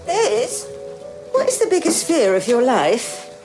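A woman's voice: a little high, very animated, slow, over music. An actress playing a mild-mannered, middle-aged Indian auntie and loving mother in a TV comedy-drama, tender and reassuring. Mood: earnest.

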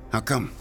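A man playing a menacing, mocking extortionist with a taunting drawl in a radio horror drama. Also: lowish voice. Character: menacing male extortionist, slow, mocking bully.

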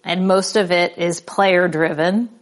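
A woman in her forties hosting a casual, playful interview, animated and quick to laugh. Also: lowish voice. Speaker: interview host.

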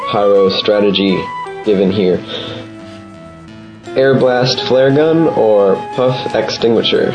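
A man's voice: on the low side, takes it slowly. A man presenting an informal podcast segment on a dull lo-fi recording. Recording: dull lo-fi audio. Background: loud guitar music.

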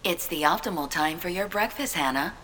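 A woman playing a polite, obedient AI assistant in an audio drama, speaking in formal, measured sentences. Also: lowish voice, lively intonation, pushed and bright, slight background noise. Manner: formal, measured, matter-of-fact.

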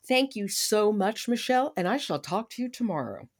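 A woman's voice: medium pitch, big pitch swings, moderate pace. A woman hosting a podcast in a conversational interview style. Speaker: podcast host.

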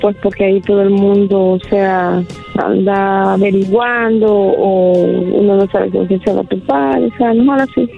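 A woman's voice: mid-range voice, fairly even intonation, unhurried, vocal fry, faint music behind. A woman from El Salvador with a Salvadoran accent, speaking politely and giggling a bit on a phone call. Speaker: caller on the phone.

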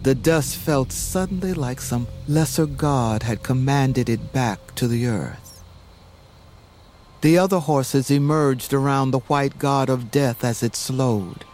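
A man narrating an audio drama legend in a solemn, measured, reverent way. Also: medium pitch, darkish tone. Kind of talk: slow scripted storytelling narration for an audio drama. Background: music.

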